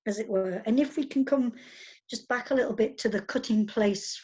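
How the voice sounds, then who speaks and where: A woman's voice: fairly high pitch, moderate pace, darkish tone, husky. A woman hosting an author interview, asking long rambling questions full of "you know" and restarts. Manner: rambling, with many fillers and backchannels. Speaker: interviewer host. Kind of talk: conversational interview.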